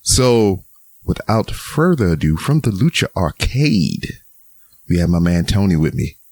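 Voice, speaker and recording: A man hosting a podcast in a relaxed, joking way, swearing casually as he talks. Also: low voice, unhurried, no room sound. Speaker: podcast host.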